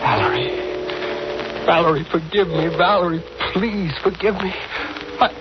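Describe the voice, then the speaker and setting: An actor playing a panicked, guilt-ridden murderer, pleading and stammering in a melodramatic 1940s radio style. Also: male voice, a little high, a little slow, lightly acted. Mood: anguished pleading. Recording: muffled, noisy old radio recording.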